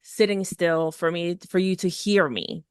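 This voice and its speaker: A woman hosting a podcast for mothers, her low-ish voice recorded close and dry. She speaks warmly in substance but casually in form, circling back with fillers and restarts as she encourages her guest. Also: unhurried, clear, resonant tone, no echo. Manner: encouraging, hesitant with many fillers. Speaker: podcast host. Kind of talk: casual faith conversation.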